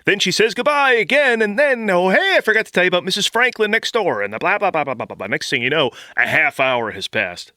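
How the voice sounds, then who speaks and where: A man plays a childish, easily grossed-out boyfriend in a radio comedy. He stammers and repeats himself in a sharp, bright voice that leaps around in pitch. The recording is dry and close. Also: big pitch swings, fast talker, few pauses, no room sound, heavily acted. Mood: casual griping. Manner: stammering, flustered, repeats words. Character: squeamish, childish boyfriend bickering with his girlfriend. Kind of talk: comedy radio sketch acting.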